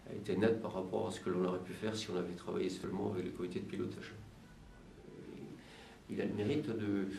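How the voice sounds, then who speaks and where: A man giving measured interview answers as a local official, halting and searching for words. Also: on the low side, vocal fry, warm tone, a little hiss or hum. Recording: roomy, distant mic, music behind. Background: music.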